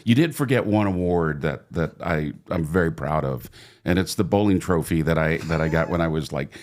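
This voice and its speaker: A man's voice: rumbling low, moderate pace, darkish tone. A man in his sixties from Oregon, a longtime radio broadcaster, talking casually as a guest, self-deprecating, laughing easily and repeating words as he goes. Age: 60s. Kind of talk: casual interview talk.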